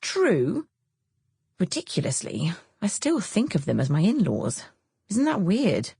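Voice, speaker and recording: Woman playing a bantering, slightly flustered heroine, husky. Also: on the low side, wildly swooping pitch, a little slow, dark tone, dry recording, studio quality, pitched above the performer's own voice, heavily acted. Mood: musing, rueful. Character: cheerful, self-deprecating divorced mother, easily flustered narrator heroine. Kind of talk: audiobook character dialogue.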